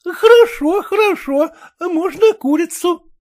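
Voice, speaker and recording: A doting old aunt fusses over her nephew in a storybook reading, repeating her words in a very high, lively voice, as a male narrator puts her on. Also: takes it slowly, full character voice. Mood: fussing. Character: elderly aunt, fussy and doting.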